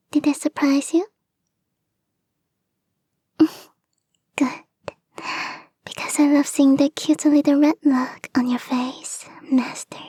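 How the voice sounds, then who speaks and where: A woman playing a clingy, teasing fox spirit girlfriend in an intimate ASMR roleplay, speaking in a soft, breathy whisper. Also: high-pitched, no room sound. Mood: doting, teasing. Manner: coy, doting, playfully teasing. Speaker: voice actor in a roleplay.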